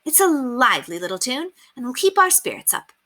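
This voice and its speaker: A woman voicing an eager, clever young governess in a storybook, musing aloud and exclaiming brightly. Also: high voice, sing-song, a little fast, voice pushed up, exaggerated voice. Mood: cheerful, reassuring.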